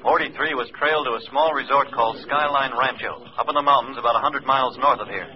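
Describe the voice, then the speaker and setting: A man's voice: mid-range voice, fast, crowd noise, close to an everyday voice. An actor playing a firm male agent's handler, terse and urgent, in 1950s radio drama style. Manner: terse, urgent, matter-of-fact. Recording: muffled old radio recording, faint noise.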